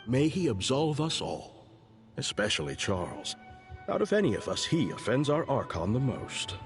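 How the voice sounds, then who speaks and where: A man playing a composed, devout cardinal in a video game, speaking formally and with gentle wryness. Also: mid-range voice, big pitch swings, faint music behind. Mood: dryly wry. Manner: formal, measured, gently wry. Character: composed, devout male cardinal, a fatherly churchman. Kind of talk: scripted video game dialogue.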